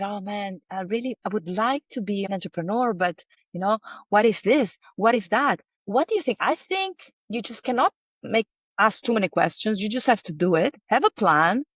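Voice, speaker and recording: A woman's voice: mid-range voice, dry recording. A woman hosting an interview, asking reflective, coaxing questions. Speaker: host, wellness coach. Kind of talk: interview conversation.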